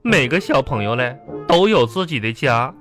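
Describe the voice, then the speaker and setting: A man's voice: medium pitch, sing-song, lightly acted. An exasperated, fussy schoolteacher, acted by a man in a comedy radio play. The delivery swings up and down, stretching words out while scolding and correcting. Faint music plays underneath. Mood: pedantic. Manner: drawn-out words, scolding and pedantic. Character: exasperated, fussy primary-school teacher with garbled pronunciation. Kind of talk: comedy radio drama acting.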